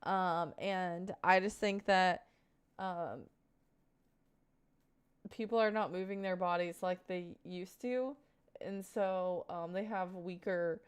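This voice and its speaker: A woman's voice: lowish voice, clean tone. A woman in her twenties talking casually on a live stream, answering viewer questions in a rambling, earnest way full of fillers. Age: 20s.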